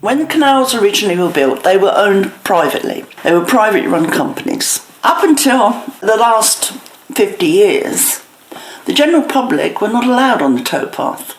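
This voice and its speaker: A woman giving plain-spoken explanatory answers in an interview, in short, clear statements. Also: mid-range voice, sing-song, moderate pace. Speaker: canal historian interviewee. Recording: echoey room with background noise.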